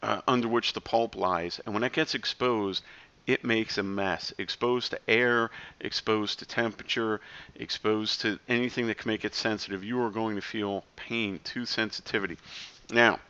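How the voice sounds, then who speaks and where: A man's voice: fairly low pitch, fairly even intonation, moderate pace, sharp tone, clear, resonant tone, dull audio. A man in his forties giving casual, rambling advice to camera with chatty self-deprecating asides. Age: 40s. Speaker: health vlogger.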